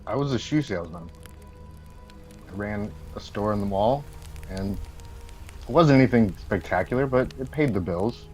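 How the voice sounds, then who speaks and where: A man's voice: on the low side, expressive, normal speed, long pauses, a little mellow, close to an everyday voice. A man playing a plain-spoken former salesman and survivor in a drama table read. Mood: matter-of-fact. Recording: dull video-call microphone, music.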